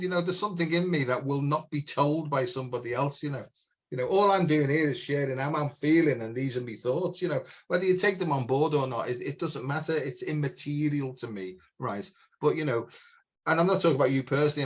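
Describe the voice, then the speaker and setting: A man hosting a spiritual chat show with a loud, booming voice, talking frankly and emphatically. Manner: emphatic, frank, says "you know" often. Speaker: podcast host. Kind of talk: casual spiritual conversation.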